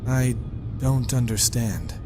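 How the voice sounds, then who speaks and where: A man's voice: medium pitch, expressive, slow. A man in a fan audio drama playing an earnest, loyal young warrior who speaks formally and with restraint to his superior. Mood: taken aback. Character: earnest, loyal young male warrior-monk, respectful but uneasy. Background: music.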